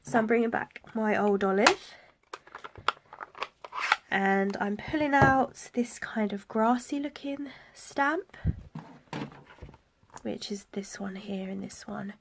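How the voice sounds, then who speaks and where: A woman with a cold and a raspy, blocked-up voice, demonstrating a card-making project softly, sometimes near a whisper. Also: mid-range voice, slow pace, pauses often, noisy background. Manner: soft, partly whispered while working. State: congested from a cold. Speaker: stamping craft demonstrator. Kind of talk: craft tutorial.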